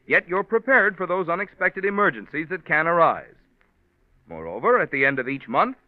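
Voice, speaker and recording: A man's voice: a little high, dry recording. An American man as a 1940s radio announcer, reading a commercial with polished, emphatic salesmanship. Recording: very muffled old radio recording.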